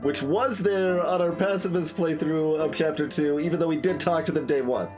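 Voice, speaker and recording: A man's voice: on the high side, normal speed, over music. A man giving casual commentary on a game playthrough, musing and thinking aloud as he goes.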